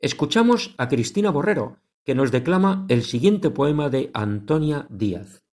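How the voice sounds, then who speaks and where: Lively-pitched man hosting religious radio. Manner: measured, devout announcer delivery. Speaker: radio program host.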